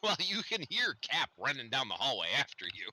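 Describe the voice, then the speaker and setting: A man's voice: medium pitch, wildly swooping pitch, a little fast, sharp tone, dry recording, poor audio. A man running a tabletop game as dungeon master, narrating playfully with sound effects.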